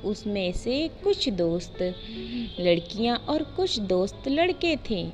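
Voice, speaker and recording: A woman narrating a scripted moral story in simple, deliberate short phrases. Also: medium pitch, sing-song, soft background music.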